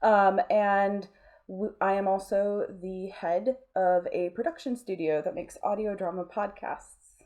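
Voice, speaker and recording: A woman's voice: mid-range voice, steady tone, unhurried, darkish tone, lo-fi sound. A woman talking casually as an interview guest, informal and rambling, with a self-deprecating way about her. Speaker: interview guest, audio drama producer.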